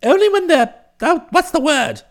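A man playing a genial, excitable young upper-class English gentleman in an audiobook, breezy and chatty, with an upper-class British accent. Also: very high voice, moderate pace, pitched above the performer's own voice. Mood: eager, astonished. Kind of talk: audiobook first-person narration and dialogue.

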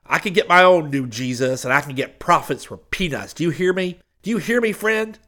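A man voicing a pushy, folksy con-man preacher in an audiobook, impassioned and a little hoarse. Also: on the high side, lively intonation, fairly quick, sharp tone, voice pushed up. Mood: agitated, threatening. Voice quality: hoarse, breathless.

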